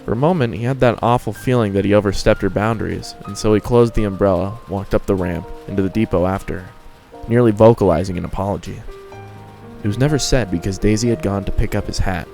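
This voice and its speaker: A man serves as narrator for a piece of fan fiction. His voice sits fairly low as he reads the scripted prose, and light music plays behind him. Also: fast, vocal fry, mellow. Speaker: story narrator.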